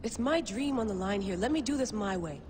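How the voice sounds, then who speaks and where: A woman acts out an ambitious, impatient researcher in a video game scene. She has a mid-pitched voice and a stubborn, self-assured way of talking, clipped and defiant. Background noise is clearly heard around her. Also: expressive, fairly quick, close to an everyday voice. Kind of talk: naturalistic video game cutscene acting.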